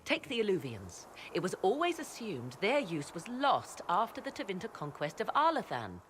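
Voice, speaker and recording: A woman's voice: mid-range voice, wildly swooping pitch, moderate pace, fairly bright tone. An actress playing a proud, scholarly sorceress in a game cutscene, savouring her words in a theatrical way. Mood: intent, fascinated. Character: enraptured scholarly sorceress, proud and elegant woman. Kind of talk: dramatic video game cutscene acting. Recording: faint background noise.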